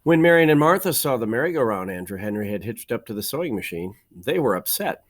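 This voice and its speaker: A man reading a children's storybook aloud like a teacher, with deliberate phrasing, dramatic pauses and emphasis. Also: mid-range voice, big pitch swings. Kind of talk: expressive children's storybook narration.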